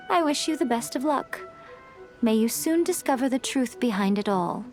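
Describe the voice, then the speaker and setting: A sly teasing fox spirit. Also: female voice, a little high, darkish tone. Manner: poised, teasing, elegant. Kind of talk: game dialogue.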